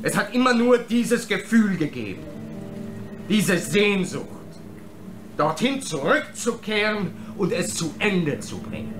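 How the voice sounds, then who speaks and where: An actor playing a menacing, brooding film director in a radio drama, an abandoned son who accuses with insistent rhetorical questions. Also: male voice, sits high, sing-song, measured pace, music in the background. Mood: bitter, resolved.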